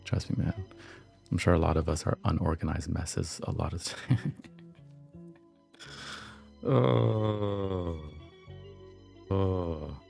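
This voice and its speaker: A slightly hoarse man hosting a livestream, chatting in a relaxed, reflective, slangy way over soft background music. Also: deep voice, slow pace, long pauses. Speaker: podcast and livestream host. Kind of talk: casual livestream chat.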